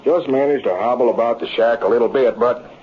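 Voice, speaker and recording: An actor playing a folksy cowboy storyteller with a rural Western American accent, narrating a yarn in old-time radio style. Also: male voice, mid-range voice, brisk, close to an everyday voice. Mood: confiding. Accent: rural American West. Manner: folksy, animated yarn-spinning. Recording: muffled, noisy vintage radio recording.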